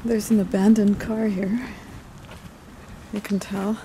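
A middle-aged woman chatting casually and gently while walking outdoors, as in an ASMR roleplay. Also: medium pitch, little pitch movement. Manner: gentle, unhurried, meandering. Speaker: ASMR video creator. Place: outdoors on paths through woods and streets. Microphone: handheld camera at arm's length. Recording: outdoor ambient noise, passing traffic.

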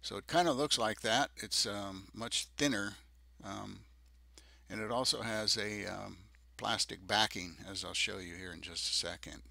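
Low, gravelly older man, halting delivery. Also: sharp tone, no room sound. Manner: halting, hesitant delivery with many ums. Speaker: DIY presenter. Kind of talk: unscripted narration of a project video.

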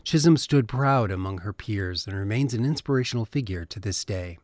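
A man hosting a radio program, reading a scripted introduction and asking questions in a measured, deliberate way. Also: medium pitch, darkish tone, dry recording. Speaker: radio host. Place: radio studio.

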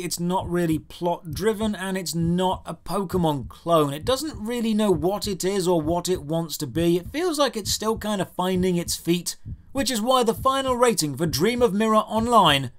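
A man's voice: sits high, a bit bright. A British man giving a scripted comedic game review in a sardonic, exasperated, emphatic manner. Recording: music behind voice-over. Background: background music.

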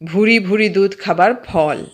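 A woman narrating a humorous story in an expressive reading style. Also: medium pitch, normal speed. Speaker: audiobook narrator.

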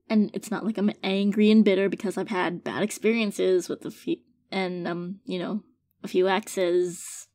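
A woman's voice: medium pitch, no room sound, lightly acted. A woman playing an affectionate, hesitant girlfriend in an intimate audio roleplay, speaking sincerely and tenderly to her partner. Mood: gently explaining. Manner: soft-hearted, hesitant, halting, gently sincere. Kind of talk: intimate audio roleplay, casual monologue to listener.

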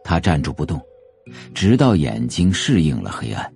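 Deep, creaky-voiced male narrator. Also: sing-song, moderate pace, a little mellow, soft background music. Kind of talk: scripted audio drama narration of a novel.

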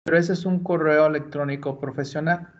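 A man's voice: fairly high pitch, steady tone. A man from Mexico patiently explaining computer steps in a screen-recorded library tutorial. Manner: slow, patient, step-by-step explaining. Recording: dull lo-fi screencast audio.

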